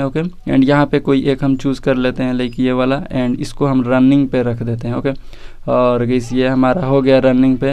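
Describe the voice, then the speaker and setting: An Indian man presents a tech walkthrough in an informal, conversational way. His voice is smooth and clear at a middling pitch, with little melodic movement. He talks straight to his viewers. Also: darkish tone, no echo. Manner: informal, addresses viewers directly. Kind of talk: casual phone feature walkthrough.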